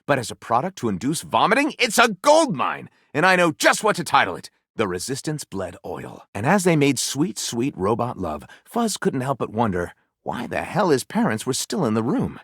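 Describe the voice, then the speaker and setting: A theatrical, animated storyteller. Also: male voice, mid-range voice, normal speed, few pauses, husky, no echo, studio quality, full character voice. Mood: snarky. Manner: dramatic narration with mock-grand flourishes and excited exclamations. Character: theatrical male book-loving storyteller, gushing and snarky. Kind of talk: video game character voice acting.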